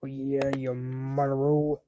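A woman's voice: rumbling low, little pitch movement, slow, warm tone. A woman voicing a bratty, hot-tempered young boy wizard in an amateur cartoon fandub, sneering and exasperated. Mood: angry, contemptuous.

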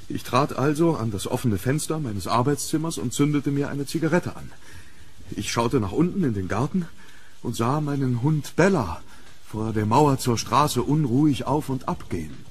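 An actor playing a haunted gentleman archaeologist in a German horror radio drama, speaking formally about a dreadful curse. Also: on the low side, a little hiss or hum, close to an everyday voice.

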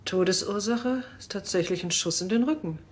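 An actress playing a stern police chief in a crime comedy, dry, commanding and sarcastic. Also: female voice, mid-range voice, moderate pace, natural voice. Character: stern, sarcastic female police chief, impatient with her men.

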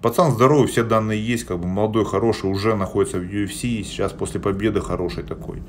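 A man's voice: lowish voice, quick pace, slight background noise. A man in his forties chatting on a live stream from a small indoor room, answering viewer questions bluntly, with many hesitation sounds. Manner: plain-spoken and blunt, with frequent hesitation sounds. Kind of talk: casual live-stream chat. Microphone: phone held at arm's length.

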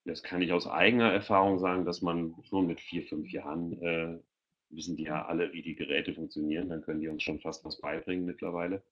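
Very deep-voiced middle-aged man, dull call audio. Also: little pitch movement, a little fast. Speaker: guest expert answering parents' questions. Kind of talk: informal question-and-answer. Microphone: headset on a video call.

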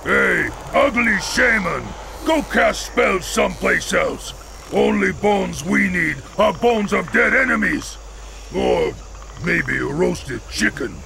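A brutish orc warrior in a fantasy game, hungry for battle. The voice is extremely gravelly and pitched high, swinging widely through broken, grunted phrases. Music plays behind. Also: male voice, lively intonation, slow pace, noisy background, exaggerated voice. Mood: rude, mocking. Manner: broken, grunted short sentences. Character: brash, war-hungry male orc chieftain, brutish and loud. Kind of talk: video game cutscene acting.

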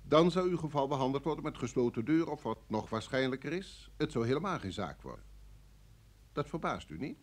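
Coolly coercive official, swooping intonation. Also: male voice, medium pitch, sing-song. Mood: firm, menacing. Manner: formal, smoothly insistent, measured. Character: cool, coercive male security service official. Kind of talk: radio play acting.

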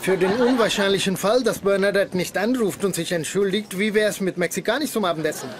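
Cheeky high-voiced young guy, a man acting. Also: a little fast, a faint crowd, natural voice. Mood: wry, playful. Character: cheeky, boyish young man, playful sidekick. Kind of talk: dubbed sitcom acting. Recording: sitcom audio, noisy studio audience.

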